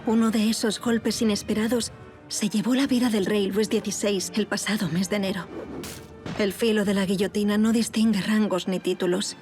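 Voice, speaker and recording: A woman's voice: mid-range voice, fairly even intonation, moderate pace, music in the background, lightly acted. An actress playing an anguished aristocratic woman of revolutionary France who tells her own story in formal, literary language in an audio drama. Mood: somber, reflective. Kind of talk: dramatic audio fiction monologue and dialogue.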